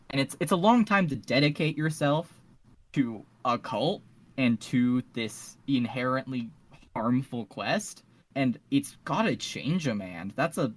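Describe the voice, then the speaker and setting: A man speaking as a podcast panelist in a casual fan discussion, earnest and emphatic, repeating phrases for stress. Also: medium pitch.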